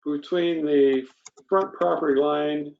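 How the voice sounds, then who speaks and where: Male commissioner, gravelly fry in a fairly high mellow voice, methodical questioner. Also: steady tone, takes it slowly, narrow, tinny audio, slight background noise. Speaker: planning commission member. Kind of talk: informal meeting discussion.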